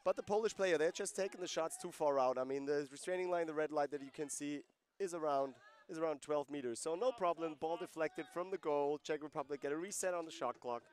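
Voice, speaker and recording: A man's voice: on the high side, a little fast, hardly any pauses. A man giving live play-by-play commentary on a lacrosse game, conversational and explaining rules and player backgrounds between plays.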